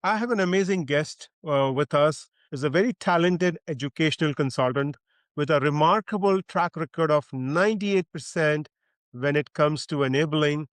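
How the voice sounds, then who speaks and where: A man's voice: fairly high pitch, moderate pace, no room sound. A man hosting a podcast and introducing his guest in an effusive, formal way, with frequent "uh" fillers. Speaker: podcast host.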